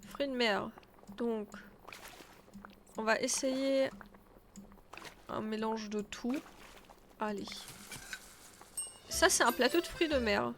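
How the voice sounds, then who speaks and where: A woman's voice: on the high side, slow, long pauses, a bit bright, slight background noise. A woman with a stuffy nose chatting casually as she streams a game, thinking aloud and answering her chat. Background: faint game music.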